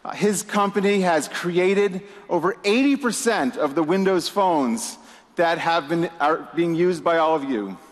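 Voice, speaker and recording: A man's voice: fairly high pitch, expressive, measured pace. A man giving an upbeat conference keynote, emphatic, with a few "you know" fillers. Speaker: keynote presenter. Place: large conference hall. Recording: reverberant hall with audience.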